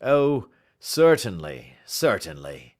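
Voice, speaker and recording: A man voicing a learned, down-on-his-luck older gentleman in an audiobook, courteous and slightly pompous, choosing words with slow staccato care. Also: on the low side, sing-song. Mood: relieved. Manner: slow staccato, careful word choice, pompous. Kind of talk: audiobook character acting.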